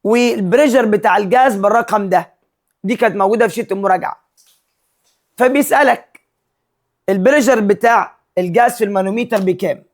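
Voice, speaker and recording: A male physics teacher with an Egyptian Arabic accent, working through exam problems step by step and mixing English terms and numbers into his Arabic. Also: sits high. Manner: mixes English terms and numbers into Arabic, reads questions slowly.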